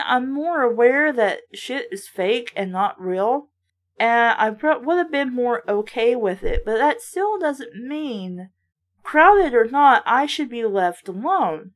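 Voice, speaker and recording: Female book reviewer, high-ish voice, sardonic and blunt, casual read-and-react delivery. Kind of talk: casual read-aloud with running critical commentary.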